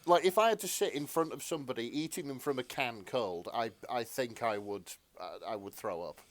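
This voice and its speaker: A man co-hosting a podcast, chatting casually and jokily in an animated, exclamatory way. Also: mid-range voice, brisk. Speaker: podcast co-host. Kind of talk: casual comedic chat.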